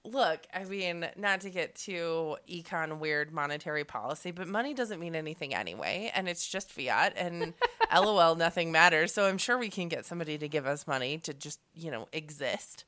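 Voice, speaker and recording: A woman co-hosting a podcast, her voice deep, husky and hoarse from strep throat. She trades wry, laid-back banter, and her pitch sits very low for a woman. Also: expressive, normal speed, few pauses, a bit bright. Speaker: podcast co-host. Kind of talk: casual chat.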